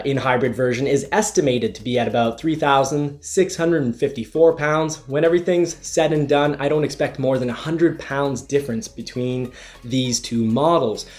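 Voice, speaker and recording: A man's voice: mid-range voice, darkish tone. A man with a congested, stuffy nose giving a casual, explanatory car review from inside the vehicle, often restarting his sentences. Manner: explanatory, restarts with "you know". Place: inside a car, partly driving.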